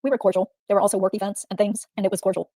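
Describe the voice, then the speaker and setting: A woman voices a wary female witness under deposition. Her tone is dark and mellow, and her replies come quickly but measured. The recording is dry and close. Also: medium pitch, level tone, no echo, close to an everyday voice. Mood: guarded. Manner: guarded, measured answers. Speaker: reader in a deposition reenactment. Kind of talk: read-aloud legal reenactment.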